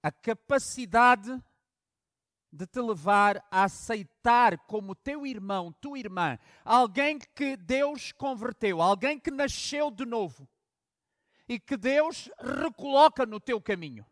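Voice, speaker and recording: A pastor from Angola preaches from a story, booming on key words and then dropping to hushed asides. The sound is close and dry, with no room around him. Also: male voice, lively intonation, slow, no echo. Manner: storytelling preacher with dramatic swings from loud emphasis to hushed asides. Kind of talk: sermon.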